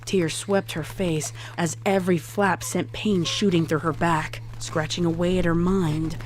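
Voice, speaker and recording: A woman narrating a scripted horror story in a deliberate, dramatic way. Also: fairly low pitch, steady tone, poor audio.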